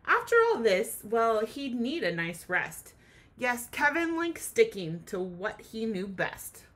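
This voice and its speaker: A woman with a fairly high voice leads a read-aloud lesson for children. She speaks as a teacher, with expression, and puts ideas into simple words for young listeners. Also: big pitch swings, slow pace, a bit bright.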